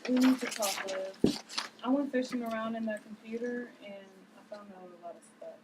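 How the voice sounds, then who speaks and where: A woman's voice: a little high, moderate pace, very noisy, close to an everyday voice. A woman playing a serious young investigator with an earnest, explanatory manner in a script read. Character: serious young female investigator revealing hard truths.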